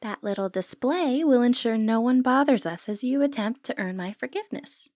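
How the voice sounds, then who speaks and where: Woman acting a flirtatious high-voiced lady thief. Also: expressive, normal speed, a little mellow, no echo, studio quality, lightly acted. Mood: amused, teasing. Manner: playful, teasing, genteel old-fashioned phrasing. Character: flirtatious, confident lady thief of noble upbringing. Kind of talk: ASMR fantasy romance roleplay acting. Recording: background music under voice.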